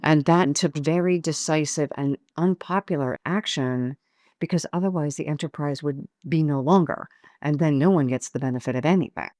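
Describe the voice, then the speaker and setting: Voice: mid-range voice, moderate pace, darkish tone, no room sound, clean studio sound. A podcast host who reflects on and sums up the guest's points in an interview. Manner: summarizing, reflective, affirming. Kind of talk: interview conversation.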